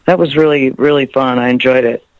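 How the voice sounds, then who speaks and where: A musician guest talking in a rambling, laugh-filled way during a radio interview. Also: male voice, mid-range voice, steady tone, fairly quick, muffled recording. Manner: rambling, laughs often, warm storytelling. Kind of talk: interview chat.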